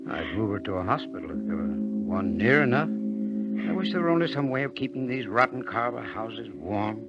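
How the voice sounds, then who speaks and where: An actor playing a kindly, careworn village doctor in a vintage radio drama, measured and gently grave. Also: male voice, fairly low pitch, expressive. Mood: grave, bitter. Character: sober, compassionate male village doctor, quietly bitter. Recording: dull old radio recording with loud music.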